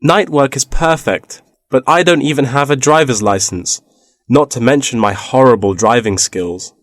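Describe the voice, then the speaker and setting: A man's voice: medium pitch, normal speed, a bit bright, no room sound, clean studio sound, close to an everyday voice. A man playing an agreeable, hopeful job seeker in a scripted, conversational listening-exercise dialogue.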